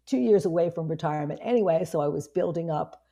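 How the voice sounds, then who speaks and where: A woman in her fifties with a hoarse voice, a guest chatting casually in an interview, rambling with many restarts. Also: low-pitched, fast talker, warm tone. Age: 50s. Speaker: guest, online marketing strategist.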